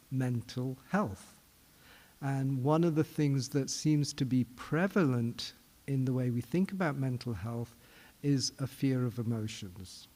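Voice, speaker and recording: A middle-aged man from England giving a measured public lecture as a psychiatrist, posing questions and restarting phrases as he explains. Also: medium pitch, mellow, long reverb tail. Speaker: psychiatrist giving a forum talk. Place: conference hall.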